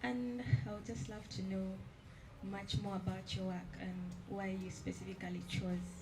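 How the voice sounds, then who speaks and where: Give me a polite, effusive woman asking a question from the floor at an art exhibition. Her voice has a middling pitch. Clear background noise fills the room. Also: little pitch movement, normal speed. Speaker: audience member asking a question.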